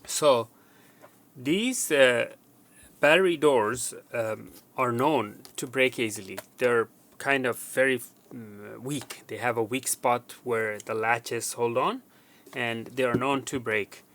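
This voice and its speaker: Bright-voiced man with a creaky edge. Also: mid-range voice, slow. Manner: informal, conversational, repeats words for emphasis. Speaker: presenter of a tech repair tutorial. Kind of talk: casual, unscripted how-to demonstration.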